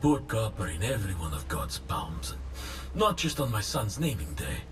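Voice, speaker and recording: A man's voice: on the low side, very animated, moderate pace. An actor playing a weary, disillusioned man of faith in a video game, brooding and dropping at times toward a whisper. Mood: bitter, brooding. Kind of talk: video game character acting. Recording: loud background ambience noise.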